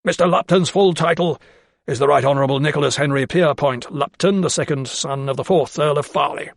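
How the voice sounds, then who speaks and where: A pompous older lawyer, coldly menacing and patronising, his voice pitched a little above the reader's own, as a male narrator puts him on. The read is close and dry. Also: a little fast, gravelly, no echo. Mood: smug. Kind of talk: audiobook character voice.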